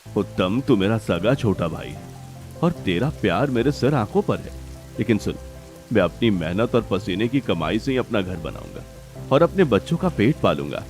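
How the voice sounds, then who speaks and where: A man's voice: fairly low pitch, sing-song, moderate pace, darkish tone, soft background music. A man playing a proud, stubborn village father and elder brother in a cartoon family drama, speaking firmly and earnestly. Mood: firm refusal. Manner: firm, earnest, dignified. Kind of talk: Hindi cartoon family melodrama.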